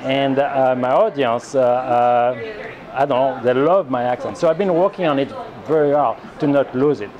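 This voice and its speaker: A man in his sixties with a French accent, a chef reminiscing haltingly in a documentary interview. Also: medium pitch, lo-fi sound, crowd noise. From France. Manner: halting, warm reminiscing with many fillers. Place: restaurant patio outdoors. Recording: noisy outdoor street ambience.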